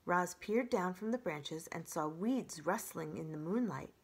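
A woman in her forties reading a children's story aloud in an expressive narrating style. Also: on the low side, measured pace, breathy edge. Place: indoors by a brick fireplace.

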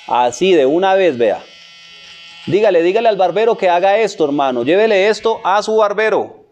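A Colombian man with a high-pitched voice talks through a barbering tutorial. He speaks in loose street slang, repeating little phrases and addressing the listener as a buddy. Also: expressive, normal speed, a little mellow, lo-fi sound. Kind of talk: casual hands-on tutorial narration.

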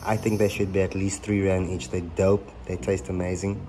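A man's voice: sits low, level tone, normal speed, dark tone, soft background music. A young man from South Africa chatting casually while taste-testing food for a vlog, laid-back and slangy. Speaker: vlogger. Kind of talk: casual food tasting chat. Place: restaurant, then home living room.

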